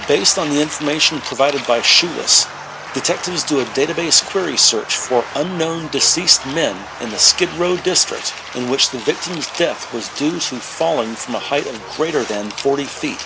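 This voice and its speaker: A man's voice: fairly high pitch, measured pace, noisy background. A man giving scripted, present-tense narration for a crime drama.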